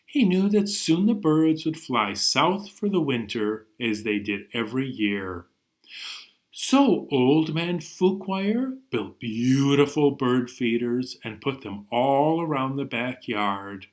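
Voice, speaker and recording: A man's voice: medium pitch, expressive, slow pace. A man hosting a children's storytime, reading and singing playfully and expressively with sudden emphasis. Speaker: children's storytime host, librarian reader. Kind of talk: animated storytelling and songs for young children.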